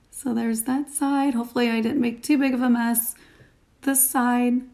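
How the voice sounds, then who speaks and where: A woman in her thirties with a slightly high voice that has some morning rasp in it. She talks informally, as in a home beauty review, chatting along while busy with her hands. It is recorded on a phone at arm's length in a small room. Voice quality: raspy morning voice. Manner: chatty, informal, talking while applying makeup. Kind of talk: casual product review to camera.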